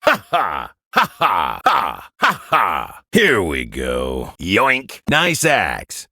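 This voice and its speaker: Here is an arrogant executioner from a video game, gravelly and forceful. He drags out his words like a boastful showman, his pitch swinging wildly as he revels in himself. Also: huge pitch swings, very slow, dry recording, studio quality, heavily acted. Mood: gleeful exertion. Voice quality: gravelly, raspy. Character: cocky, showboating male executioner game champion, gleefully arrogant. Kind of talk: video game character voice lines.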